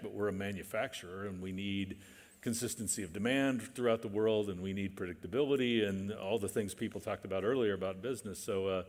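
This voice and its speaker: A man speaking as a manufacturing executive on a conference panel, measured and hesitant with many fillers. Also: lowish voice. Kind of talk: panel discussion. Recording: reverberant conference hall.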